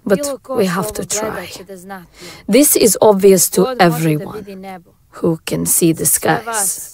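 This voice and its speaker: A woman's voice: medium pitch, creaky, warm tone. A woman playing a somber, hesitant survivor broadcasting at the end of the world in an audio drama. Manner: hesitant, deliberate. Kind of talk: grave, halting apocalyptic broadcast acting.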